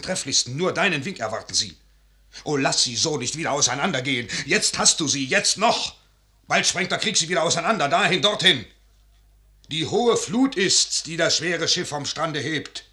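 Lighter high male voice, tense bright tone, urgent scheming officer, persuasive verse declamation, 1950s German radio drama. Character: urgent, scheming, loyal field officer pressing his commander. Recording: 1955 radio studio recording.

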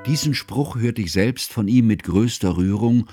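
A man playing a devoted, learned friend who recalls events as narrator of a radio play, in measured, formal, ornate phrasing. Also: fairly low pitch, dark tone, faint music behind, close to an everyday voice. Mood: earnest, tender. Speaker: first-person narrator.

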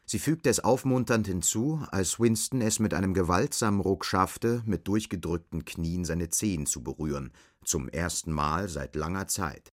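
A man's voice: low voice, moderate pace, few pauses. A man narrating a literary radio drama from a script.

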